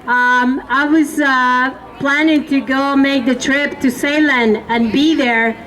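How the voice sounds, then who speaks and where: A woman from El Salvador speaks at an outdoor rally. Her speech is impassioned and halting, and she calls out to the crowd. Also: sits high, fairly bright tone. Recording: distant mic with crowd noise.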